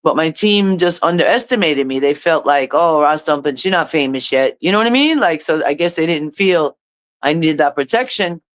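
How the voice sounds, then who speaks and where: Middle-aged woman artist with a smooth, resonant voice, halting and intense on a dry close mic. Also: low voice, animated, no echo. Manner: halting, repeats herself, intense. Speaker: reggae artist, interview guest. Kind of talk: emotional personal testimony.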